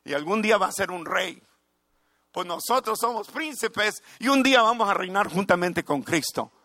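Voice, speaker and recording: A middle-aged pastor preaches with fervor, his voice high and bright. He builds to shouts of praise. The sound is dry and close. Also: animated, normal speed, no echo. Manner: fervent preaching, rising to exclamations of praise. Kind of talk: sermon.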